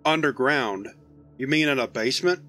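A man playing a gentle, concerned agent questioning a child in a horror audio drama. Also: mid-range voice, moderate pace, soft background music.

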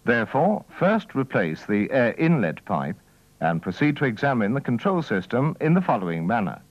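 A man's voice: medium pitch, big pitch swings, normal speed, no echo. Man narrating a dull-sounding old training film. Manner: methodical, formal delivery. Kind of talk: scripted instructional narration.

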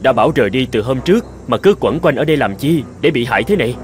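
A man acts out a young scholar from an old folktale cartoon. He is earnest and lovelorn, musing aloud in a mid-pitched voice with tender, formal phrasing. Music plays underneath. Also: animated, fast, lightly acted. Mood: surprised, kindly. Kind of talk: dramatic cartoon voice acting.

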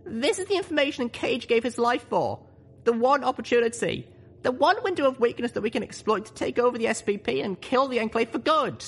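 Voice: mid-range voice, lively intonation, fairly quick, fairly bright tone, husky, voice pushed up. A narrator voicing an earnest, determined young female pony leader, speaking solemnly and urgently in a story reading. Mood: resolute. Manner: solemn, urgent speech-making.

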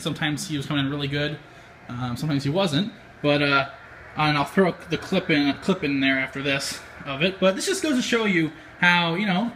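A man's voice: a little high, pushed and bright. An American man talking casually and a little hesitantly as an amateur radio vlogger, on a handheld camera indoors. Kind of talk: casual vlog talk. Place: small apartment room, indoors. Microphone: handheld camera mic. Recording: clear background noise, handheld camera.

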